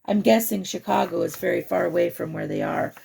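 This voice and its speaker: A woman reading a picture book aloud to children, with chatty, expressive asides and questions to the young listener. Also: fairly low pitch, brisk, gravelly, slightly rough.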